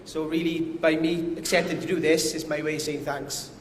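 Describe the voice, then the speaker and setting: A man's voice: fairly high pitch, level tone, normal speed. A man with a strong Welsh accent giving a conference presentation as a company director, a little nervous and self-deprecating. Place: conference hall. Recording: echoey hall with faint noise.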